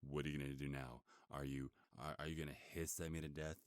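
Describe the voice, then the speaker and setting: Man playing a very deep, smug werewolf hunter. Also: flat delivery, smooth, no room sound, clean studio sound. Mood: mocking, menacing. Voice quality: booming deep voice. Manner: smug, slow teasing menace. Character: cocky, taunting male werewolf bounty hunter. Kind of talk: ASMR roleplay acting.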